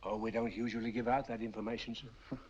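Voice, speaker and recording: An actor playing an obliging, ingratiating auction-house clerk in a 1940s film, with a husky voice. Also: male voice, on the low side, flat delivery, quick pace, a little mellow, slight background noise, natural voice. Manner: ingratiating and polite, calling everyone sir. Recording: dull lo-fi old film soundtrack.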